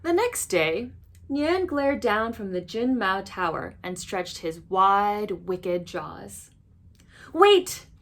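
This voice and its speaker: A woman reading a children's storybook aloud as an expressive narrator. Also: medium pitch, slow. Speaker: storybook reader.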